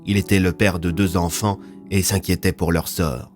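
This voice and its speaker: A man's voice: sits low, level tone, normal speed, darkish tone, faint music behind. A man narrating his audio fiction from a script as its author.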